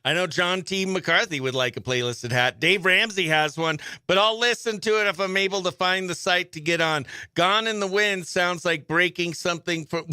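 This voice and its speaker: An American man hosting a morning radio show, chatting in an upbeat, effusive way with greetings and shout-outs. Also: sits high, normal speed, few pauses, clean tone, no echo, studio quality. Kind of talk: chatty country radio show and livestream banter.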